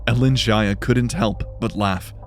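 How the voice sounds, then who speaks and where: A man's voice: fairly low pitch, fairly even intonation, unhurried. A man narrating fantasy fiction in a scripted, dramatic storytelling style. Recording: music behind the voice. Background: music.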